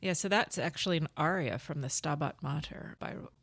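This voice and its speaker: A woman co-hosting a show in casual chat, informal and quick to interject. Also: deep voice, fairly bright tone, no room sound. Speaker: co-host.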